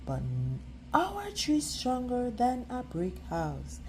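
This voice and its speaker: A woman's voice: on the high side, huge pitch swings, takes it slowly, a little hiss or hum, pitched above the performer's own voice, heavily acted, poor audio. A woman voicing an outspoken, anxious, determined schoolgirl from a children's storybook, urgent and insistent. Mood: curious.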